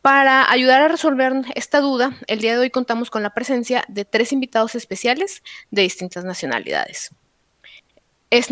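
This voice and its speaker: A woman's voice: fairly high pitch, a bit bright, no room sound. A woman with a Mexican accent hosting a panel podcast in a semi-formal, somewhat hesitant way with frequent filler words. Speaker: podcast host.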